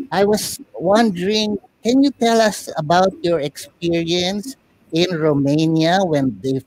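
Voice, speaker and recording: High-pitched Filipino man hosting. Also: a little hiss or hum, poor audio. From Philippines. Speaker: puppet show host. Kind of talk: talk show interview.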